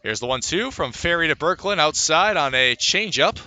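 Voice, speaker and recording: A man calling live baseball play-by-play as a radio announcer, conversational between pitches and lifting his delivery for the action. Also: normal speed. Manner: lifts into excitement on plays, conversational between pitches.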